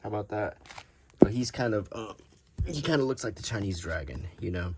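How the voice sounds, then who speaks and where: A man's voice: on the low side, expressive, brisk, heavy background noise. A middle-aged or older man giving unscripted, casual show-and-tell commentary in an easygoing, rambling way, thinking aloud. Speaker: host of a hobby collecting channel.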